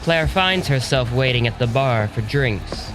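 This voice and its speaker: A man's voice: medium pitch, lively intonation, a little slow, poor audio. A man narrating an audio drama in a scripted storytelling style. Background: music.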